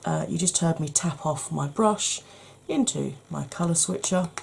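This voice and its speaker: A woman, hoarse from hay fever, chatting through a makeup tutorial step by step and speaking straight to her viewers, with frequent ums. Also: fairly low pitch, unhurried, darkish tone, slight background noise.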